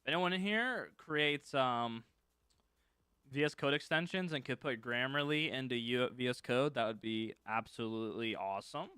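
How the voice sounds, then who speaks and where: A man's voice: medium pitch, moderate pace, pushed and bright, clear, resonant tone, dry recording. A man casually teaching scripting on a live stream, thinking aloud as he works and chatting with viewers. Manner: thinks aloud while working, loose and informal, reads chat and answers.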